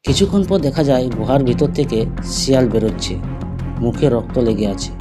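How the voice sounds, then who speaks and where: A man's voice: mid-range voice, animated, moderate pace. A man narrating a scripted cartoon fable as the storyteller, with music behind him.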